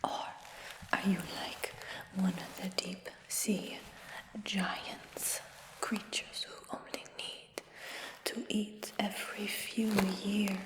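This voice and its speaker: A woman whispers in a soft, coaxing tone, lingering over repeated words for ASMR. She speaks extremely slowly, each phrase drawn out. Her voice hangs in a roomy space with a long, trailing reverb. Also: mid-range voice, little pitch movement. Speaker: ASMR roleplayer. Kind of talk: whispered ASMR fantasy roleplay.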